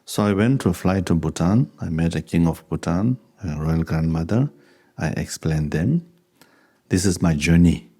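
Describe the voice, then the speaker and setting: Low, mellow-voiced man teaching with an accent. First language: Tibetan. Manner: unhurried, conversational, chuckles while telling anecdotes. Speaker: Buddhist teacher. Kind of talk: dharma talk with question and answer.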